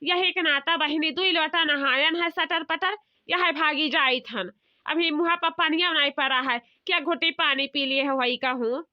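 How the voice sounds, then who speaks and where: A woman's voice: sits high, moderate pace, dull audio, close to an everyday voice. A woman playing a bossy, fretful village housewife in a comedy cartoon, chatty, scolding and repetitive, with an Awadhi accent.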